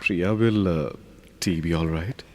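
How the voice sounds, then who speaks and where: A man's voice: sits low, a little slow, darkish tone, in a large hall. An actor with an Indian English accent playing a hesitant, emotional young man in an audio drama. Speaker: young man in a radio play.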